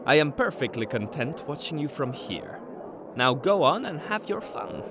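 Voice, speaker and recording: A man's voice: a little high, big pitch swings, normal speed, noisy background. A man playing a sly, teasing Norse trickster god with a wry, nonchalant manner in an audio drama.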